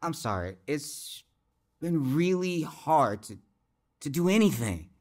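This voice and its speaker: Earnest young guy with swinging pitch. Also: very animated, slow pace, darkish tone. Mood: subdued, sad. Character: sweet, earnest, heartbroken young churchgoing man. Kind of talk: audio drama acting.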